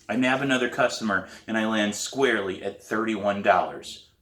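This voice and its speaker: A man, an author, reading his novel aloud in expressive narration. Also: lowish voice, normal speed, reverberant. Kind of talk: expressive audiobook-style narration.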